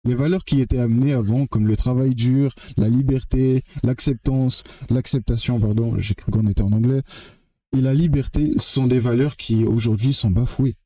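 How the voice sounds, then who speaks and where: A young man from French Guiana speaking straight to the camera in a blunt, heated monologue. His voice sits at an ordinary pitch with a dark, mellow tone. The sound is close and dry, and he keeps repeating phrases for emphasis and throwing in curses. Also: steady tone, normal speed, dry recording. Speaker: vlogger.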